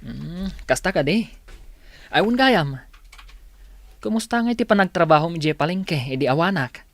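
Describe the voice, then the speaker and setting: A man's voice: a little high, lively intonation, moderate pace, creaky, dry recording. A man playing a polite, modest young working man with a Filipino accent and a mild manner in a radio drama. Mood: hesitant.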